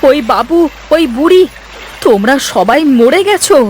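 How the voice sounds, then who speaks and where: A man's voice: very high-pitched, sing-song, normal speed, a little hiss or hum. A man voicing a timid, kindly young village boatman in a cartoon, exclaiming and easily frightened. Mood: terrified. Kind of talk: cartoon voice acting.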